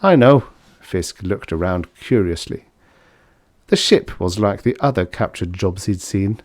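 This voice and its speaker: A man narrates vintage military science fiction from a script. His voice sits low, and the sound is dry, with no room around it. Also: measured pace, no room sound. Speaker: audiobook narrator.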